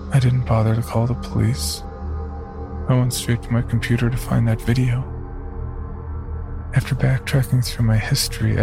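An actor playing a haunted, disfigured young man narrating a first-person horror tale with somber, hushed dread. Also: mid-range voice, vocal fry.

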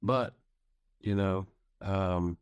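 A man in his thirties, a guitarist guest, speaking haltingly and repeating phrases. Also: deep voice, smooth, no room sound, studio quality. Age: 30s. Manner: halting, repeats himself as he searches for words. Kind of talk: casual interview.

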